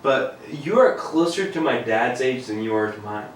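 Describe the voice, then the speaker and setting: A man's voice: medium pitch, lively intonation, moderate pace. A young man in his twenties speaks bluntly and with a teasing edge, swearing freely, his voice moving up and down a lot. He sounds far from the mic in a very echoey room, with a faint hiss of noise behind him. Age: 20s. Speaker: podcast co-host. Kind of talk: casual chat.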